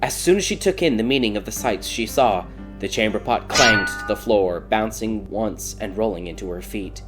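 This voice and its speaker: A man's voice: lowish voice, normal speed, over loud music. A man reading a scripted fairy tale as a dramatic audiobook narrator.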